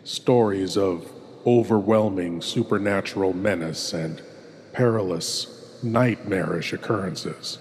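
A man hosting a horror show in a theatrical scripted style, ominous and full of dramatic pauses. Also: low voice, a little mellow, long reverb tail.

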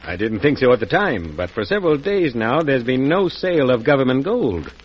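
Formal, scheming financier in vintage radio play; medium pitch, husky, muffled recording. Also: male voice, sing-song, fairly quick. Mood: persuasive, sly. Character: calculating, smooth-talking gold speculator. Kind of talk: old-time radio drama acting. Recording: dull old radio broadcast.

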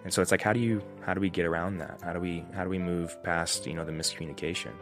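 A man's voice: low voice, fairly even intonation, quick pace, music in the background. A man hosting a solo podcast, getting over a cold, thinking aloud in a rambling, reflective way with many restarts. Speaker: podcast host.

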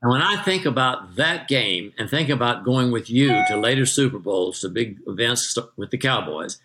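An older American man from Texas telling detailed anecdotes as an interview guest, in a measured raconteur's manner. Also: medium pitch, expressive, bright, forceful tone, slight background noise. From Texas, United States. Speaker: interview guest, retired magazine journalist.

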